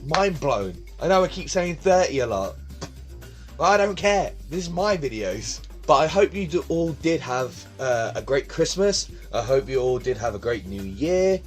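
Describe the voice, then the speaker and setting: A young man talks to his phone camera in his bedroom, hosting his own vlog. His voice sits a bit high, and he rambles and repeats himself in a casual way. Faint music plays behind him. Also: sing-song, moderate pace. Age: 20s. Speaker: vlog host. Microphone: phone camera on tripod.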